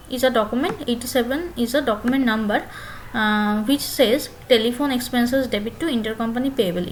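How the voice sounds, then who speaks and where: A woman giving a spoken screen-share software tutorial as instructor, phrasing haltingly with many filler pauses and repeating phrases step by step. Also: on the high side, slight background noise, rough recording.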